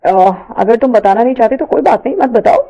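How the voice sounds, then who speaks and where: A woman voicing a gentle, earnest young college man in an audio story, soft and a little hesitant. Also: on the high side, animated, fairly quick, lo-fi sound. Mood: serious, calm. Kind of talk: audio story character dialogue.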